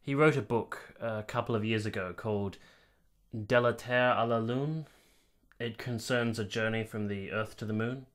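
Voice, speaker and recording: An actor playing a reserved, dutiful man in a period radio drama, speaking in a measured, polite and slightly hesitant way. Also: fairly low pitch, fairly even intonation, close to an everyday voice. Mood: thoughtful. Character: reserved, dutiful man in 1870s Italy, guardedly kind.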